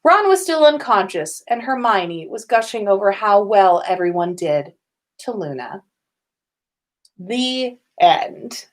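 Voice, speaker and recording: A woman's voice: mid-range voice, sing-song, slow pace. A woman podcast host reading fan fiction aloud in an informal storytelling style.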